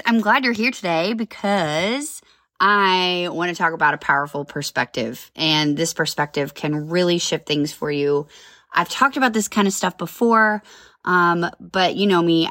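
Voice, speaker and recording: This is a woman in her thirties chatting on her own podcast, upbeat and full of side notes. Her voice sits low, and a cold leaves it a little nasal and congested. The sound is dry and close, with no room around it. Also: a bit bright, no echo. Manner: chatty, upbeat, rambling with asides. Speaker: podcast host. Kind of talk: casual solo podcast chat.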